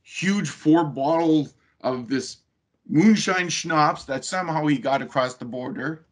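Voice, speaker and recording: A man's voice: a little high, unhurried. A man giving a webinar talk over a video call, telling a personal story in a conversational way with frequent fillers. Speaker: webinar presenter.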